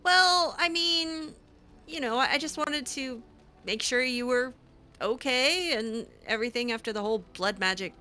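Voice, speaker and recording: A woman gamer speaks in character as she plays, making up her dialogue on the spot. Her voice is bright and forward, at an average female pitch, with a hesitant, chatty, informal delivery. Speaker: gamer role-playing her own character. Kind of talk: improvised in-character chat over gameplay.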